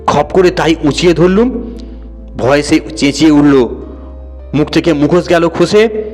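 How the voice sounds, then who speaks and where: A man's voice: on the high side, a little slow, darkish tone, soft background music, lightly acted. A man voicing a boastful, indignant householder who plays both victim and hero in an audio story. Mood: excited bragging. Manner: indignant, blustering, self-important.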